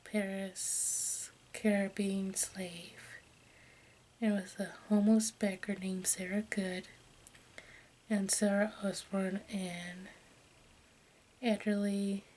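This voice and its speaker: A woman reading aloud in ASMR style in a soft, breathy whisper and hushed speech, halting as she goes. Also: mid-range voice. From United States. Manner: halting, stumbles over names and words. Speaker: ASMR video creator. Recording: faint background noise.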